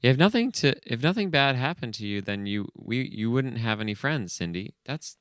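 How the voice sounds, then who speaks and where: A man co-hosting a comedy podcast, chatting casually and reading from a script in a bemused, halting, distractible way. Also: medium pitch, big pitch swings, a bit bright, clear, resonant tone, no echo, studio quality. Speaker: podcast co-host.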